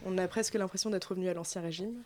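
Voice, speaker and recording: A woman's voice: lowish voice, fairly even intonation, very fast, a little mellow, a little hiss or hum. A woman presenting a web news flash on a radio show, with light ironic asides. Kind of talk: reading a news segment on radio. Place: radio studio.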